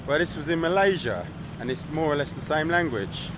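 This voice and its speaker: A man's voice: fairly high pitch, lively intonation, brisk. A man talking casually as a travel vlogger, rambling with asides and the odd swear word, outdoors on a city street. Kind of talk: casual vlog narration. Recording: street traffic noise. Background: traffic and street noise.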